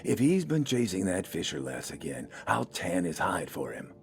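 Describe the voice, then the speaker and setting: A man voicing a gruff, irritable older village militiaman who growls and grumbles in an audio story. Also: sits low, very animated, normal speed, exaggerated voice. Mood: gruff, annoyed. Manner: grumbling, swearing.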